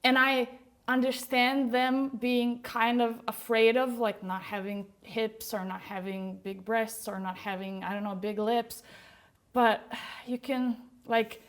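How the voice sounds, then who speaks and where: A woman's voice: a little high, steady tone, unhurried. A young woman, a podcast guest speaking candidly in casual conversation, full of "like" fillers.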